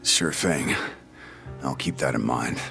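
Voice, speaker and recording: An actor playing a gruff, weary fantasy warrior in a game cutscene, terse and understated, with a gravelly voice. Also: male voice, rumbling low, slow, over music, heavily acted. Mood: wry. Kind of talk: dramatic game cutscene acting.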